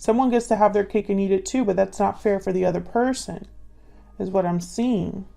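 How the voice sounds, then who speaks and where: A woman giving a casual intuitive tarot reading to viewers, musing and pausing as she thinks aloud. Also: medium pitch, creaky, warm tone, faint music behind. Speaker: tarot reader.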